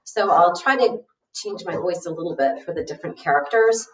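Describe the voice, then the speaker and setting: A woman's voice: on the low side, lively intonation, brisk, noisy background. Female storyteller for a children's book read-aloud, casual asides, roomy and distant-miked. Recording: roomy, echoey, distant mic.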